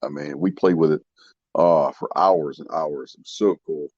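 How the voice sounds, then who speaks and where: A man's voice: rumbling low, moderate pace, a little mellow, slightly rough. A man chatting casually and enthusiastically about vintage collectibles as a podcast co-host, with a folksy manner. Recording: dull, muffled lo-fi audio with room echo.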